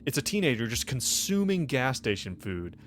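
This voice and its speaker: An American man narrating a tabletop game as game master, improvising in a halting, conversational way. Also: medium pitch, big pitch swings, fairly bright tone. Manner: halting, conversational, peppered with "like" and "you know". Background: faint music.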